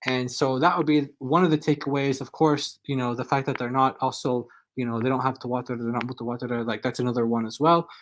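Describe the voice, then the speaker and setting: A man's voice: mid-range voice, flat delivery, brisk, hardly any pauses. A man talking casually as a guest on a livestream, rambling with lots of "like" and "kind of". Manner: loose and rambling, many likes and kind ofs. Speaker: guest on stream.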